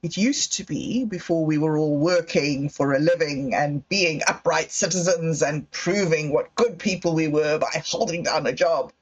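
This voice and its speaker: Woman rambling thru a personal story on a livestream, lowish voice, muffled lo-fi sound. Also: husky. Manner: slow, meandering, candid. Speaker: livestream host. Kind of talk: personal reflective monologue. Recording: dull lo-fi livestream audio.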